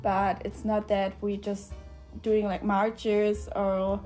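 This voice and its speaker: An eighteen-year-old woman from northern Germany with a German accent, speaking English as a second language in a relaxed chat full of fillers. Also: mid-range voice, slow, soft background music. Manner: relaxed, many fillers like "like" and "yeah". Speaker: guest volunteer. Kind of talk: casual chat on camera. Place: indoor room with shelves.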